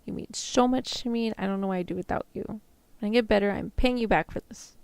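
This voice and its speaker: A woman playing an affectionate, bashful sick girlfriend in an ASMR roleplay, soft-spoken and hesitant. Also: mid-range voice, fast talker, gravelly, smooth, no echo, close to an everyday voice. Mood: tender, affectionate.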